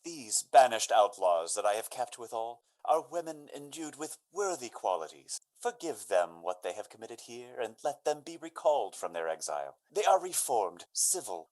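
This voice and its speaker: A bold young gentleman lover from a Shakespearean radio play, acted by a man. His mid-pitched voice swings widely as he declaims, commanding and earnest. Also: sing-song, moderate pace, hardly any pauses, lightly acted, rough recording. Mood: grateful, earnest. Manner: theatrical, declamatory. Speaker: amateur stage actor. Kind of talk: Shakespearean radio drama acting.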